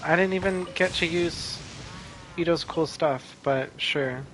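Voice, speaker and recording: Unhurried, laid-back man commentating a game. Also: on the high side, fairly bright tone, slight background noise, poor audio. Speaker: gamer commentating gameplay. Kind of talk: casual gameplay commentary.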